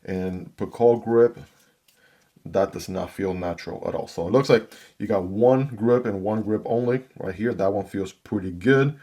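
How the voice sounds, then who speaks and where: Low-voiced man reviewing gear. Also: normal speed, mellow. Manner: chatty, talks straight to viewers. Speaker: knife review channel host. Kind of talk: casual product unboxing and review.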